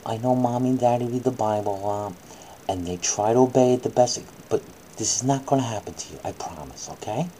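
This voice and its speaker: A woman's voice: a bass voice, fairly quick, warm tone, a little hiss or hum, poor audio. A woman acting out a bedtime story for children, moving between dramatic reading and coaxing, soothing asides.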